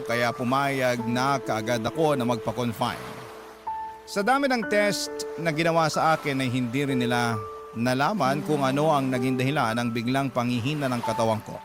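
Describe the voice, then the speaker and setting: Guy reading a letter story for radio drama, medium pitch, music playing under him. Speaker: radio drama host and narrator. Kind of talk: scripted first-person story narration reading a letter. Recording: music bed under the narration.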